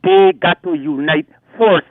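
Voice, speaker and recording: A Guyanese man rings in over a thin, tinny phone line. His voice sits a little high and very dry. He argues his point insistently and keeps talking over the host. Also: measured pace, no echo. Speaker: caller. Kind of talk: call-in rant.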